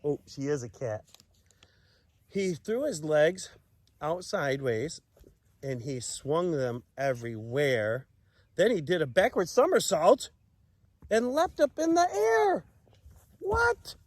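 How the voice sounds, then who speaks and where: A man reads a picture book aloud to children in a dry, close sound. His delivery swings widely in pitch and takes its time, playful and expressive, with chatty asides. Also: takes it slowly, pauses often, no echo.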